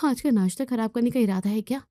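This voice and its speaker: Lady doing a lazy young daughter-in-law, high whiny voice, always making excuses, bedtime story. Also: fast talker, dark tone, no echo, clean studio sound, natural voice. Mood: protesting.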